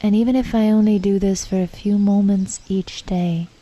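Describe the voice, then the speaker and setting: A woman leading a guided meditation in a calm, unhurried, reflective manner, with soft, breathy phrase endings and pauses between thoughts. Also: medium pitch.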